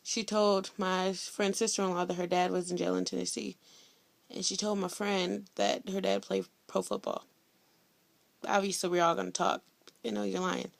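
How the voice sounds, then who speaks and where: A teenage girl from Indiana telling a story in a blunt, sassy, chatty way, loud-voiced and full of slang. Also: mid-range voice, gravelly, no room sound. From Indiana, USA. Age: teens. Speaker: vlogger. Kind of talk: casual storytelling vlog.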